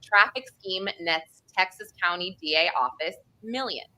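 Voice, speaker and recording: A woman's voice: lowish voice, lively intonation, unhurried, sharp tone, poor audio. A woman from the Northeastern United States with an American accent, co-hosting a casual true crime discussion in a chatty, informal way. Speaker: co-host.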